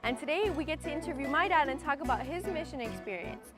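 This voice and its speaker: Young female host, high voice, upbeat presenting, loud music bed. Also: lively intonation, quick pace. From Thailand. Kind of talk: show presenting.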